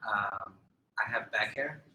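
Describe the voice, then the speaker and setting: A man in his forties from New Jersey whose first language was Italian, trading deadpan jokes as a podcast guest. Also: lowish voice, slow, reverberant, slight background noise, low-quality recording. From New Jersey, USA. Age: 40s. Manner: deadpan, joking. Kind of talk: casual podcast banter.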